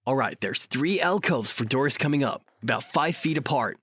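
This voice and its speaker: A young, self-assured paranormal investigator played by a man with an animated, swooping delivery and a dry close sound. He is sarcastic and a bit bossy, as heard in audio drama. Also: medium pitch, normal speed, breathy edge, no echo. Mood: urgent.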